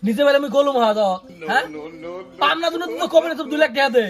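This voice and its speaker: Man as a very high-voiced, defiant village son. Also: very fast. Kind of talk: comedy skit dialogue.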